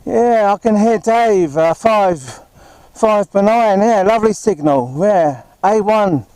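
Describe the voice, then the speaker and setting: A man's voice: high-pitched, lively intonation, slow pace, darkish tone, clear, resonant tone, no room sound, low-quality recording. A man chatting casually on a handheld two-way radio, polite and often repeating himself. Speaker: CB radio operator testing a handheld while walking. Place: outdoors on a high street and park walk.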